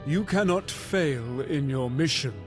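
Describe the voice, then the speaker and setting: Fantasy game ruler of the gods: unhurried, solemn, formal and commanding, over clear background music. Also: male voice, fairly high pitch, lively intonation. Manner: stately, formal, deliberate. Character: solemn commanding god-king, All-Father of the gods. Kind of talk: fantasy video game voice acting.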